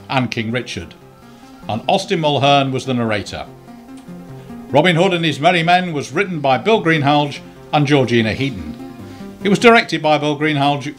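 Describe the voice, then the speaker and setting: A man's voice: medium pitch, a bit bright. A man reading the closing credits of an amateur radio play in a dry, wry manner.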